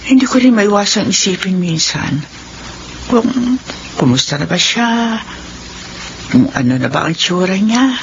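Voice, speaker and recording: A middle-aged mother talks in a mid-pitched, practical, worrying way, like a scolding elder sister. The Filipino radio drama recording is slightly muffled, with faint noise. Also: lively intonation, unhurried, pauses often. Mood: pensive. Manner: scolding, down-to-earth.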